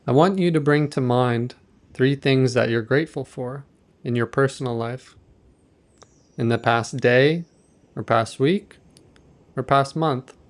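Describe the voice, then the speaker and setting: A man leading a guided meditation in a gentle, measured, softly instructive manner. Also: mid-range voice.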